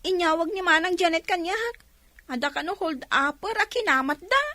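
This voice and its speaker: Radio drama casting: flustered Filipino little sister, very shrill pitch, forceful edge. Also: female voice, lively intonation, normal speed, no room sound, full character voice. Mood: panicky. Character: flustered younger sister, panicky and eager.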